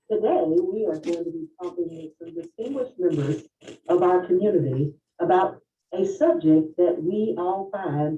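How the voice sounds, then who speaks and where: A woman of fifty-five moderating a panel in a formal, deliberate and courteous way. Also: fairly low pitch. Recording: distant, roomy, dull lo-fi video sound.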